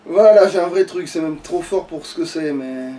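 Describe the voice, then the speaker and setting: High-voiced man, sweary gamer. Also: moderate pace, mellow. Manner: sweary, exasperated, rambling to himself. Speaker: let's play gamer. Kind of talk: casual gameplay commentary. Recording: distant mic with light background noise.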